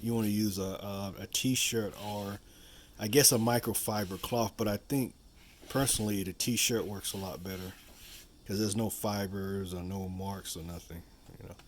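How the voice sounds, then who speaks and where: A man's voice: lowish voice, fairly even intonation, moderate pace, a little hiss or hum. A man giving a casual hands-on how-to demonstration, rambling and repeating himself with frequent asides. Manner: rambling, repetitive, with frequent "you know" asides. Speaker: video host demonstrating a product.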